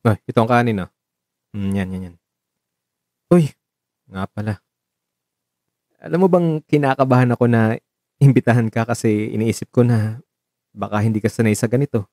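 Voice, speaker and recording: Man acting a mellow, caring young suitor. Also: mid-range voice, normal speed, long pauses, dry recording, studio quality, close to an everyday voice. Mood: nervous, casual. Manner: gentle, reassuring, conversational Taglish. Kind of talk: radio drama acting. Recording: voice over background music.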